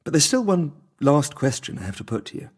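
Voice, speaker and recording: An actor playing an educated, power-hungry doctor in an audio drama, speaking with formal, precise and menacing politeness. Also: male voice, fairly high pitch, big pitch swings, fairly quick, husky. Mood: probing, polite.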